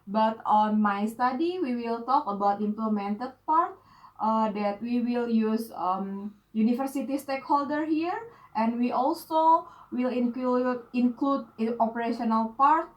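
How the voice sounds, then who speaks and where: A woman from Indonesia, a native Indonesian speaker, gives an academic talk on her research plan. Her voice sits somewhat high, and she moves through her points in careful order, pausing on fillers. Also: low-quality recording. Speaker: PhD student. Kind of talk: academic presentation of a research proposal.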